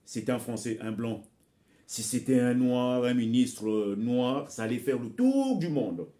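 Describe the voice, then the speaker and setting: Exhorting man, mellow voice. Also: medium pitch, lively intonation, unhurried. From Congo. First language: Bembe. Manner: exhorting, addresses listeners as brothers and sisters; repeats words while searching for phrasing. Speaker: vlogger addressing his viewers. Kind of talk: impassioned direct-to-camera commentary.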